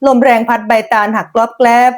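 A woman narrating a scripted folk tale as a storyteller, dramatic and descriptive. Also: a little fast.

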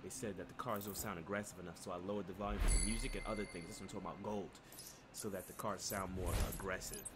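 A man's voice: low voice, fairly even intonation, fast talker, heavy background noise. A young American man doing casual, rambling let's play commentary in slang for his live viewers. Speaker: live streamer playing a driving game. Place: indoor room at home. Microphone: desk microphone for streaming. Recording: loud game music behind.

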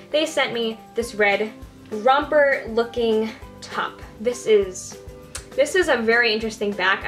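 A young woman with a fairly high voice talks chattily to her camera from a bright bedroom, upbeat and rambling, full of little fillers and restarts. Soft music plays faintly underneath. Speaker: fashion vlogger. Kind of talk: casual haul vlog to camera.

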